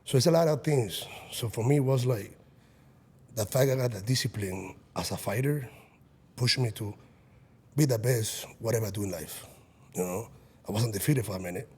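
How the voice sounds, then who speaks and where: A man's voice: fairly low pitch, moderate pace, pauses often, dark tone, husky. A man in his late thirties, an executive protection agent and business owner, talking earnestly in a casual podcast chat with frequent restarts. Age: 30s.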